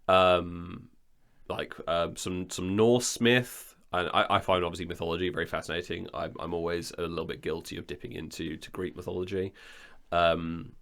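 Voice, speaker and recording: A man's voice: sits low, fairly even intonation, moderate pace, a bit bright. A man talking casually as an audio drama creator, hesitant and wry in his delivery. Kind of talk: casual chat.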